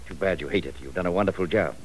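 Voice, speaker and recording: Very deep manipulative professor, played by a man, quick patronizing talk, 1940s radio acting, faint hiss. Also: lightly acted, low-quality recording. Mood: cool. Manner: smooth, patronizing, brisk. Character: scheming older professor, cool and manipulative.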